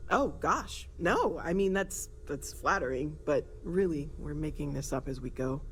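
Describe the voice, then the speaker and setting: A woman's voice: deep voice, lively intonation, measured pace. An actress voicing a friendly, thoughtful young woman scientist in a video game, earnest and a little awkward. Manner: earnest, slightly awkward, tentative. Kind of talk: scripted video game dialogue. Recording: clear background noise and ambience.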